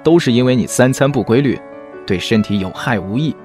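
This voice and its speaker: A devoted young boyfriend, played by a man in an audio drama. He is coaxing, eager and teasing, with very animated intonation. Faint music sits behind the voice. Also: medium pitch, a little fast, natural voice. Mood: doting. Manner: coaxing, doting.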